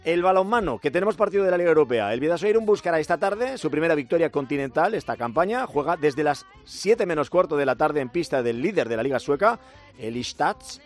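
A man hosting a radio sports news bulletin, brisk and lively, with offhand asides. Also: fairly high pitch, faint music behind. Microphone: studio microphone.

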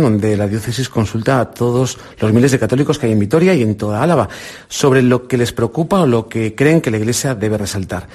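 Husky Spanish man quizzing kids. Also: medium pitch, darkish tone. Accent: Spain Spanish. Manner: encouraging, quizzing with quick questions. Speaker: radio presenter. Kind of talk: quiz with children on air. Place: indoor visit with a children's group.

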